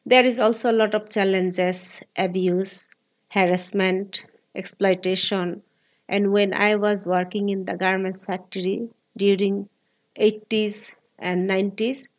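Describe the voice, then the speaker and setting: A woman in her forties from Bangladesh with a Bangladeshi accent, speaking earnest, halting English as an interview guest. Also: mid-range voice, steady tone. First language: Bengali. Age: 40s. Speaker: guest, labor activist.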